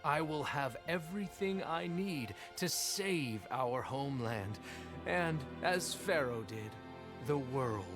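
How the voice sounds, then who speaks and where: Slow, pompous self-crowned savior. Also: male voice, a little high, lively intonation, music in the background. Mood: grandiose. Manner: pompous, stately, declamatory. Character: grandiose deluded male leader believing himself an ancient savior. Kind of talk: theatrical video game cutscene acting.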